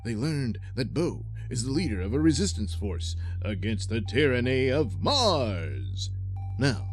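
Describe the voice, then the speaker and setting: A man narrating a space-adventure serial in a dramatic, scripted announcer style. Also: medium pitch.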